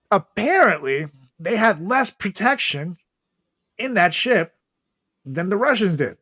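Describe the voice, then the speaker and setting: Man with very animated pitch, chatting casually. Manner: swears freely. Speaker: podcast host. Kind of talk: casual comedic podcast chat.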